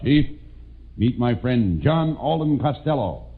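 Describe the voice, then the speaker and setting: A man's voice: medium pitch, sing-song, slow. A man playing a blustering, bossy, lovesick colonial soldier in theatrical 1940s radio comedy style. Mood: mock solemn. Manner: theatrical, emphatic, exasperated. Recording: tinny, hissy old-time radio recording.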